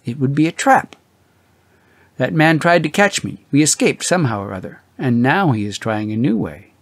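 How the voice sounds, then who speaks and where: A man's voice: medium pitch, expressive, moderate pace, no echo. A man voicing an anxious, weary older husband in an audiobook, fretful and easily shaken. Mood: uneasy. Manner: agitated, exclaiming, muttering.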